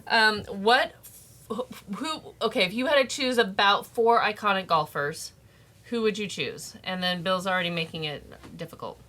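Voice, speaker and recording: Female podcast co-host, bright medium-pitched voice, casual back-and-forth with questions, some noise in the recording. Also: normal speed. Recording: noticeable background noise.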